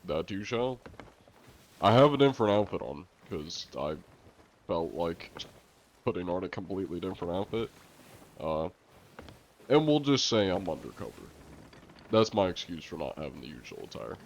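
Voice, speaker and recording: A man chatting casually to viewers on a live game stream, congested and coughing now and then, chatty and quick to laugh. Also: low-pitched, sing-song, a little hiss or hum.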